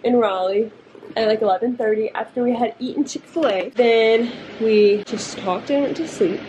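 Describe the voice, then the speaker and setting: A woman in her twenties, chatting casually into a handheld camera as she vlogs from a car and a hotel room, telling stories with quick asides. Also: a little high, warm tone, highs cut off, slight background noise. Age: 20s. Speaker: vlogger. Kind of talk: casual vlog chat. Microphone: handheld camera at arm's length.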